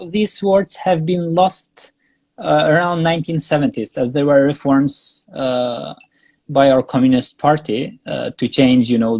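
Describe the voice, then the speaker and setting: A young Albanian man speaking English with an Albanian accent as a guest in a casual interview, pausing often with fillers and restarts. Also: mid-range voice, a little mellow, no room sound. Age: young adult. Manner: halting, many fillers and restarts. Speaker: guest, graduate student.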